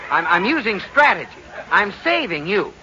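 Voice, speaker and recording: Exasperated american husband, peppy amateur ballplayer, swoopy high voice, old radio comedy. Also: male voice, big pitch swings, moderate pace, muffled recording, a crowd in the background. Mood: flustered, placating. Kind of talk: 1940s-50s radio comedy acting. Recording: noisy old radio recording.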